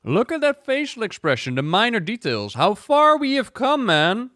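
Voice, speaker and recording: A man's voice: high voice, huge pitch swings, normal speed, bright, forceful tone, faint music behind. A man giving casual, enthusiastic reaction commentary on video game story scenes, speaking effusively.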